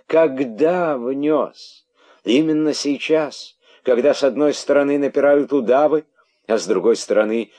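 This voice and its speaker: A man's voice: extremely low pitch, gravelly, slightly rough, no room sound, full character voice. A man playing a wrathful, drawling rabbit king. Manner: grim, commanding, sarcastic, drawn-out words. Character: stern, wrathful rabbit king interrogating his subject.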